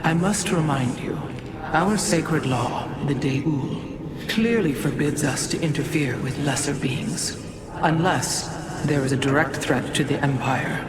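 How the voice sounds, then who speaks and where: A woman playing a haughty, stern alien elder and keeper of law in a video game, speaking formally and deliberately. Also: low-pitched, heavy background noise. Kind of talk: video game cutscene acting.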